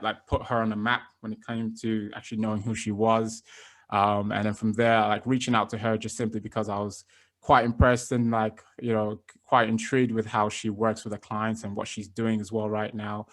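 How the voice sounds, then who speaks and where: A young man hosting a podcast as a fitness coach, chatting in a relaxed, loose way with lots of fillers and restarts. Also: mid-range voice. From based in London. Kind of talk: casual interview chat.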